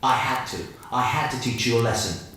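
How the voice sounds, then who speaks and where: An actor playing a grave, detached alien time traveler, speaking in a measured, solemn way. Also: male voice, medium pitch, bright, forceful tone, slight background noise, natural voice. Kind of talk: fan audio drama acting. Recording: very echoey, music behind.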